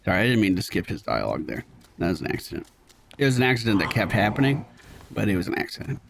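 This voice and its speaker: A man streaming a game, chatting excitably to his viewers and swearing casually. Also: on the low side, fast talker, gravelly, noisy background. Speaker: gaming streamer. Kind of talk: casual let's play commentary.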